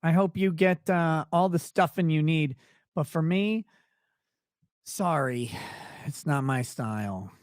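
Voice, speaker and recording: Higher-voiced man chatting on a livestream. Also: lively intonation, slow, long pauses, dry recording, clean studio sound. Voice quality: voice not deep. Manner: crude, swearing, blunt. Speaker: livestream host. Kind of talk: casual late-night livestream chat, reading and answering viewer questions.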